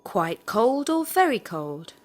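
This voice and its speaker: Female language-lesson questioner, slightly high and melodic, careful scripted delivery. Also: sing-song, slow, darkish tone. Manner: careful, clearly phrased questioning. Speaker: language teacher asking drill questions. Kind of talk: scripted question drill, read aloud.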